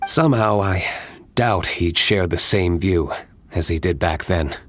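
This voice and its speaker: A man voicing a composed, serious young nobleman winemaker in a video game, with a measured, formal, restrained delivery. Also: low voice, a little hiss or hum. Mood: reflective. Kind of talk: video game character dialogue. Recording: studio voice over game music.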